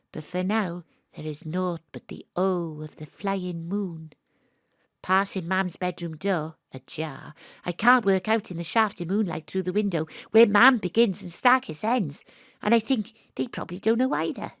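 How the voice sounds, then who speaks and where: A woman's voice: on the low side, lively intonation, unhurried, fairly bright tone, no echo, clean studio sound. An actress playing a young woman investigator, wry and weary, narrating her story lyrically in an audio drama. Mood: wry, reflective.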